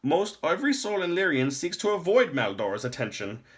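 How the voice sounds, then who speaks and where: A man's voice: fairly high pitch, sing-song, moderate pace, pitched above the performer's own voice. A man voicing a stern, grave old lore master from a storybook, with archaic, admonishing speech. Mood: stern warning. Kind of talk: storybook character acting in a read-aloud.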